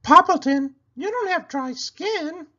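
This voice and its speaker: A man voicing a helpful, teasing female llama from a children's book in a high, sing-song falsetto. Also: lively intonation, slow pace, sharp tone, dull audio, full character voice. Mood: matter-of-fact. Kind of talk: children's picture book read-aloud.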